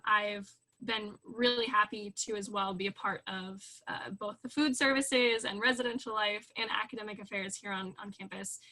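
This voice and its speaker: A woman's voice: mid-range voice, sharp tone, poor audio. A young woman from California, a college student, chatting casually with many fillers. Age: young adult. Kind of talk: casual chat.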